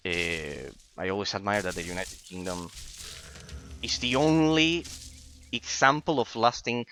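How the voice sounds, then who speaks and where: A man's voice: lowish voice, unhurried, noisy background. A man in his late twenties whose first language is Spanish, chatting casually with stream viewers while gaming and often stumbling and repeating words as he starts sentences. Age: 20s.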